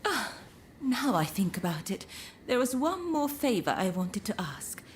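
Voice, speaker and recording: An actress playing an elegant, teasing courtesan in a video game cutscene, with refined, coquettish phrasing. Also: female voice, on the high side, sing-song, a little slow, faint music behind. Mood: coy, pleading. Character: elegant, coy, teasing courtesan woman with powerful connections.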